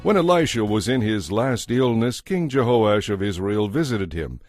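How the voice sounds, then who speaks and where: Male scripture narrator, lowish voice, formal and measured, music underneath. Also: expressive. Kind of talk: scripted audio Bible narration.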